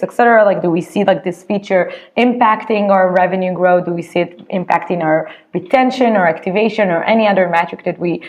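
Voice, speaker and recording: A woman with an Israeli accent speaks in a slightly low voice, answering interview questions about her company. Her talk is loose and conversational, dotted with "like" and self-corrections as she thinks aloud. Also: muffled recording. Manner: chatty, many "like" fillers and restarts. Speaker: startup co-founder and CEO.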